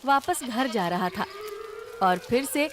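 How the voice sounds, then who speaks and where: A woman's voice: on the high side, animated, slow, very noisy. A woman reading scripted narration for a children's story.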